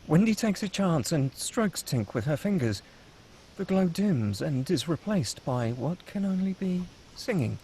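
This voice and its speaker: A man's voice: a little high, very animated, measured pace, dark tone, a little hiss or hum, low-quality recording. A man reading screenplay action and scene headings as the narrator of a table read.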